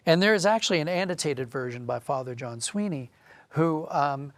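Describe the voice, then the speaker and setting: A man speaking as an interview guest, a writer explaining and telling stories in an animated, conversational way. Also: a little high, moderate pace, dry recording.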